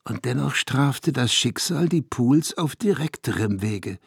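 A brooding, reflective narrator in a radio play. His voice is husky and creaky at a middling pitch, recorded very dry. He tells his story in measured, intimate, literary phrases. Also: animated, no echo, exaggerated voice. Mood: grave, insistent. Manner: deliberate, measured, intimate, at times dropping near a whisper. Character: brooding, reflective man recounting a small-town tragedy. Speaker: actor narrating a character's story. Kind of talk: German radio play monologue, literary first-person narration.

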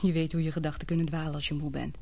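An actress in a radio play playing a frightened young woman confiding in her lover, halting and breathless in an earnest way. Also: sits low, level tone, creaky, mellow. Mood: subdued, weary.

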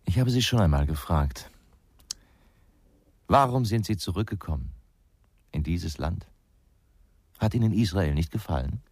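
A low-voiced man as a blunt ex-cop in a radio crime play. His speech is terse and needling, with big swings in pitch, in a very dry studio sound. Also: expressive, a little slow, pauses often, a little mellow, slightly rough, no echo, exaggerated voice. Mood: needling, insistent. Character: gruff, blunt male ex-policeman investigator, provoking and dismissive. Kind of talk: German radio crime drama acting.

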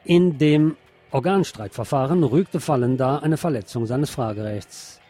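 A man's voice: medium pitch, unhurried, over music. A man presenting radio news, reading reports aloud with brief asides.

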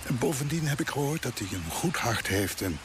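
An actor playing a loyal, deferential colonial controller in a radio drama, with a polite, cautious manner. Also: male voice, mid-range voice, very animated, brisk. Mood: defensive. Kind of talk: Dutch radio drama acting. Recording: noisy old radio play recording.

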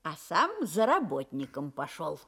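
A woman's voice: low-pitched, very animated, measured pace, a bit bright, slightly rough, full character voice. A woman narrating a scripted fairy tale as the storyteller of an audio play.

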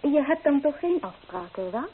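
An actress playing an eager, dreamy young provincial bride-to-be in a Dutch radio drama. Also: female voice, sits high, big pitch swings, moderate pace. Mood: coaxing. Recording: tinny, hissy old radio play recording.

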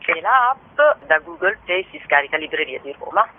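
A woman's voice: on the low side, big pitch swings, moderate pace. A woman being interviewed on the radio as a guest bookseller. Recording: muffled narrow phone line with noise.

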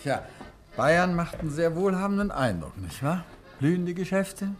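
An actor playing a composed, courteous gentleman detective in a 1950s German radio play, speaking thoughtfully with a husky voice. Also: a little high, sing-song, normal speed, faint music behind. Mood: brisk, courteous. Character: composed, probing gentleman detective, husband of his companion.

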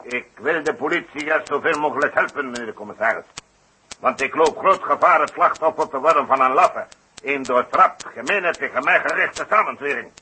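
An actor playing a pompous, florid, aggrieved circus knife-thrower in a 1960s radio drama. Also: male voice, a little high, a little fast, bright, forceful tone, poor audio. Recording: old radio recording with hiss.

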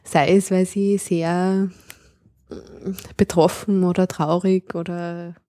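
A middle-aged woman, a linguist, explaining her ideas in a measured conversational way while she searches for precise words. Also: on the low side, level tone, warm tone, no echo, clean studio sound. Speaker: linguist guest.

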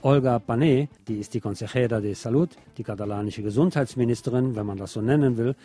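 Male news presenter, mellow relaxed voice, mid pitch, sardonic and opinionated delivery, scripted reading, light music behind. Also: fast. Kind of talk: scripted local news reading with wry personal asides.

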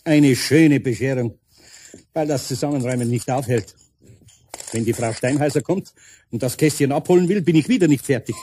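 A man playing a grumbling, good-natured older carpenter with a Bavarian accent and a husky, muttering, bemused delivery in a children's radio play. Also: mid-range voice, a little fast, slight background noise, lightly acted. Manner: muttering to himself, bemused, expressive.

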